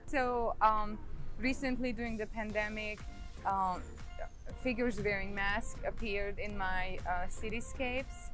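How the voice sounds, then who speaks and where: A woman's voice: medium pitch, steady tone, takes it slowly, over music. A painter from Hungary speaks English with a Hungarian accent, describing her work hesitantly with many ums and uhs. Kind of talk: artist talk about her painting.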